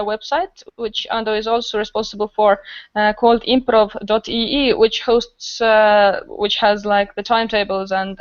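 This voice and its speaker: A woman's voice: medium pitch, smooth, no room sound, lo-fi sound. A woman with an Estonian accent chatting casually as a guest on a panel podcast.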